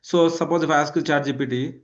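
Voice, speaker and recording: A man's voice: on the high side, fairly even intonation, quick pace, dull audio. A man in his thirties with a South Indian accent and Kannada as his native language, teaching an online class with repetition and frequent check-in questions. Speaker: instructor. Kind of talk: live online lecture with screen demonstration.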